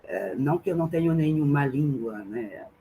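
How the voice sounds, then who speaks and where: A woman's voice: low-pitched, animated, normal speed, warm tone, slight background noise, poor audio. A woman from France with a French accent in Portuguese, giving a reflective academic talk as a guest speaker and thinking aloud with many fillers and tag questions. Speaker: guest speaker, translation professor. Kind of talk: academic talk in an online seminar.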